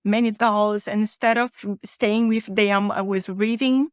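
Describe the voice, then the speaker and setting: A woman's voice: medium pitch, steady tone, measured pace, smooth, dry recording, clean studio sound. A woman from Brazil with a Brazilian accent and Portuguese as her native language, talking conversationally as a guest in an interview. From Rio de Janeiro, Brazil. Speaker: podcast guest, author and podcaster.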